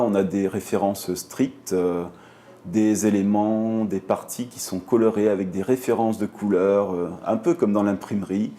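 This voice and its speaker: A man's voice: lowish voice, mellow. A man in an interview, methodically explaining how coloring works in animation.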